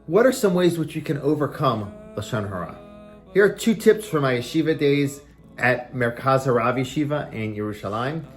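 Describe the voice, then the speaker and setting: A man's voice: medium pitch, animated, moderate pace, soft background music. A man speaking as a rabbi giving a short, explanatory religious lesson, stressing key phrases in a didactic way.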